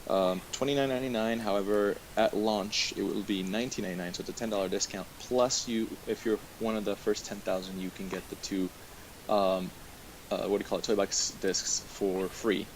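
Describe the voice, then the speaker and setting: Man, webcast host, lowish voice, halting casual rundown, hedging delivery, faint background hiss. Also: fairly even intonation. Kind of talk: casual news rundown from notes. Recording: hissy, noisy online webcast audio.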